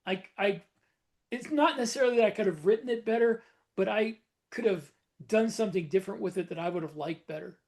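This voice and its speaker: A man's voice: high-pitched, a little fast, a little mellow. A man co-hosting a writing podcast in casual chat, joking and self-mocking, often laughing. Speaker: podcast co-host.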